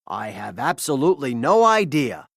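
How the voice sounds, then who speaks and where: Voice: mid-range voice, sing-song, moderate pace, creaky, no echo. Narrator doing pompous pirate captain bad guy for a kids storybook, theatrical, very dry close sound. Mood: self-satisfied. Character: villainous pompous pirate captain, adult man.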